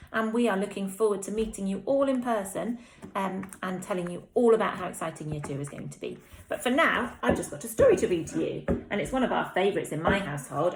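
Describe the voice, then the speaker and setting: Female infant teacher, English accent, reading to little ones; mid-pitched, very varied intonation, dramatic delivery. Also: slight background noise, poor audio. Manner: expressive, dramatic storytelling. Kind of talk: reading a picture book aloud to young children.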